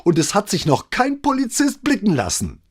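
A man doing a shrill, furious woman caller. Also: wildly swooping pitch, moderate pace, breathy edge, heavily acted. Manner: shrill, cracking with rage.

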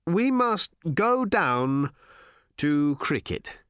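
A man voicing a weary, querulous old man who speaks slowly and gravely. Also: on the high side, sing-song, a bit bright, dry recording, clean studio sound, pitched above the performer's own voice, heavily acted. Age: older.

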